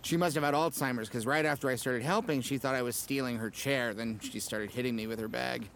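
A man's voice: mid-range voice, a little fast, fairly bright tone, a little hiss or hum, close to an everyday voice. An actor playing a hapless, good-natured and apologetic ad man in a comic audio drama. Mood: flustered, good-natured. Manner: apologetic, eager to please. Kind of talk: audio drama comedy acting.

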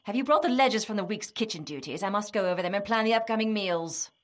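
An actress in an audio drama playing a bossy, scornful, nagging medieval wife with an imperious manner. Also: female voice, medium pitch, lively intonation, fast, lightly acted. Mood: scolding. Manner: imperious, scolding.

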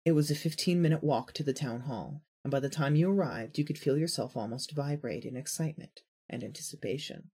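Husky man narrating a story. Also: on the high side, fairly quick, hardly any pauses, mellow. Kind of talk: scripted fan fiction narration, storytelling.

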